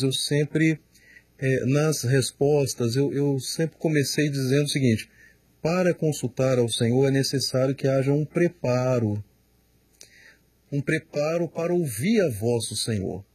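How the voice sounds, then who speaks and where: A man, a pastor, answering questions in a studio, slow and emphatic, often repeating words as he explains. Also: medium pitch, darkish tone, breathy edge, dry recording. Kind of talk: studio Q&A teaching.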